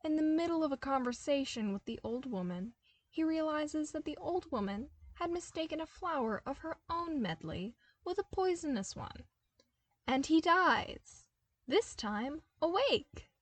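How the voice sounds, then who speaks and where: A woman reading a fan story aloud as a podfic, narrating with animated, emphatic bursts. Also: high-pitched, unhurried, no echo. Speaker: podfic reader. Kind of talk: expressive fanfiction narration.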